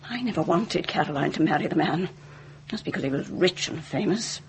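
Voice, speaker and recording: A woman's voice: sits low, lively intonation, fairly quick, a little hiss or hum. Bitter, grieving mother, intense. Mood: bitter grief. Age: middle-aged. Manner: intense, insistent, theatrical. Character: bitter, grieving, accusing mother of a dead bride. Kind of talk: British radio drama acting.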